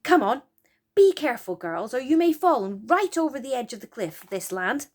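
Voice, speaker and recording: A woman voicing an eager, excited young boy on an adventure in a children's storybook. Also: sits high, normal speed, pitched above the performer's own voice. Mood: excited, urging. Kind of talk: storybook character voice.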